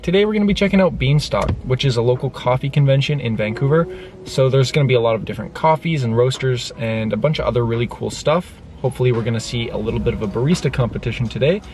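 A young man in his twenties talking casually to a camera held at arm's length in his parked car, upbeat and relaxed, with plenty of "like" fillers. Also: mid-range voice, fast. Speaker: vlogger. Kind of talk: casual vlog talk to camera.

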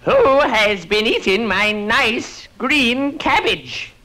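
A woman's voice: fairly high pitch, animated, slow, sharp tone, heavily acted. A woman playing a grumpy, greedy male farmer on a children's storybook record, blustering and threatening. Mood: indignant. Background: music.

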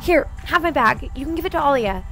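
A woman's voice: sits high, animated, a little fast, a bit bright, faint music behind, low-quality recording. A woman voicing a cheerful, easily flustered teenage girl heroine in a comic dub. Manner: bubbly, flustered.

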